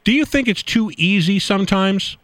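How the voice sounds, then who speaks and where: A man's voice: high-pitched, fairly bright tone, dry recording. Chatty male radio host, dry sound. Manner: chatty, halting questions with many restarts and joking asides. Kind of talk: radio interview.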